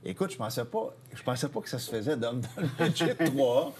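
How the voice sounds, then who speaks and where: A man's voice: medium pitch, animated, brisk, a little mellow, slight background noise. A man with a Quebec French accent, co-hosting a sports show with casual, familiar and emphatic chat. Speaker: sports show co-host, former hockey coach.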